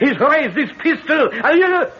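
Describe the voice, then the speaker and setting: A man's voice: extremely high pitch, lively intonation, unhurried, a crowd in the background. A man playing an arrogant, volatile dictator in a 1970s radio drama, with a bombastic, melodramatic delivery. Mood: hysterical defiance. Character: arrogant, panicky male tyrant dictator, grandiose and volatile. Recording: dull vintage radio recording.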